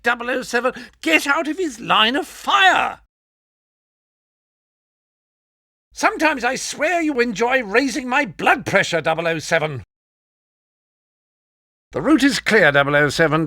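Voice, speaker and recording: An actor playing an exasperated older British gadget quartermaster in a video game, formal, precise and scolding. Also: male voice, extremely high pitch, big pitch swings, moderate pace, bright, forceful tone, no room sound, studio quality, heavily acted. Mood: urgent instruction. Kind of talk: video game mission briefing.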